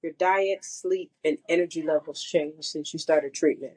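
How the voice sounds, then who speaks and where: A woman's voice: low-pitched, steady tone, moderate pace, a little mellow, husky. A woman interviewing her sister in a casual interview, supportive and nodding along with short affirmations. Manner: supportive, asks questions, gives many short affirmations.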